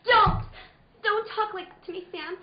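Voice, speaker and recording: A woman acts out a melodramatic, scheming femme fatale in a noir radio play. Her voice is extremely high and pleading, with theatrical delivery. The recording is dull and roomy. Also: normal speed. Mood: desperate pleading.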